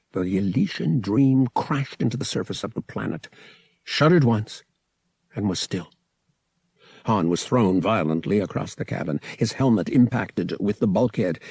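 A man's voice: lowish voice, creaky, a little mellow, breathy edge, no echo. A man reading scripted fiction aloud as an audiobook narrator, in a dramatic storytelling style. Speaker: audiobook reader.

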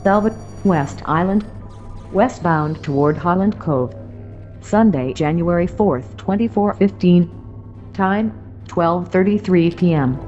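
A female announcer in a sci-fi audio drama. Her delivery is slow, measured and clipped. The sound is dull and band-limited, with faint music behind. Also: lowish voice, darkish tone. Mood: impersonal. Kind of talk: scripted announcement.

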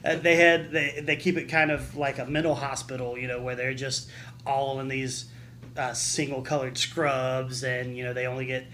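A man explaining things casually in a group discussion, halting and often repeating his words as he goes. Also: medium pitch, little pitch movement, bright, forceful tone, a little hiss or hum. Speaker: podcast co-host.

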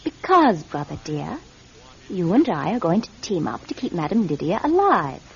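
An actress in a radio drama playing a bossy, cold-blooded, scheming sister. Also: female voice, on the low side, big pitch swings, normal speed, gravelly, husky, heavily acted. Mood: coolly scheming. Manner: domineering, conniving, insistent. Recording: dull vintage radio recording, faint music.

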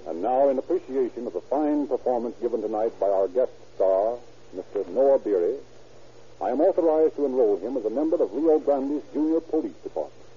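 A man narrating and announcing a 1930s radio crime drama in a measured, formal manner. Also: medium pitch, expressive, slightly rough. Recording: tinny old radio recording with faint hiss.

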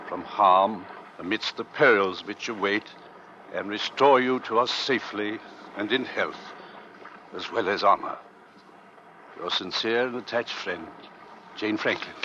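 A muffled vintage radio recording with faint noise carries a hearty male sea captain. His voice is forceful and bright, mid-pitched, with assured authority in classic radio drama manner. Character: hearty, assured 1850s sea captain of an Arctic rescue ship. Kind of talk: 1940s-50s radio drama acting.

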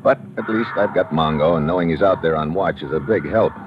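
An actor playing a tough, wry American adventurer with a sardonic, deadpan delivery in an old-time radio drama. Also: male voice, deep voice, a little fast, over music, close to an everyday voice. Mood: tense narration. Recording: muffled, noisy old radio recording.

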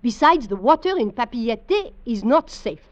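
An actress playing a courteous, persuasive middle-aged French restaurant hostess with a French accent in an old-time radio drama. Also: female voice, a little high, sing-song, measured pace. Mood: courteous, insistent. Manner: persuasive, formal, insistent. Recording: muffled old radio recording, faint music.

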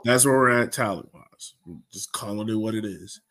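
A man's voice: lowish voice, normal speed, fairly bright tone. A man hosting a soccer fan podcast, giving a blunt, frustrated and casual recap. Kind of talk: casual sports recap discussion.

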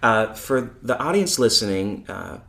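A woman's voice: rumbling low, expressive, measured pace, gravelly, fairly bright tone. Host, woman, neutral American accent, talkative and giggly, roomy echo. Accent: neutral American, hard to place. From Chicago. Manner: chatty, laughs often, acts out voices. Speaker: podcast host. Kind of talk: casual church interview chat.

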